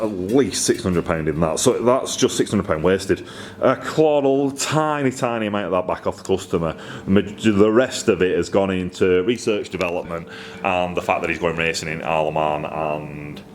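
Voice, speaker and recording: Northern English man, deep-pitched, casual tradesman vlogger thinking aloud, with some noise behind him. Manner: rambling, thinking aloud, colloquial. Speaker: custom exhaust fabricator presenting his own workshop video. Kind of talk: casual, unscripted workshop vlog.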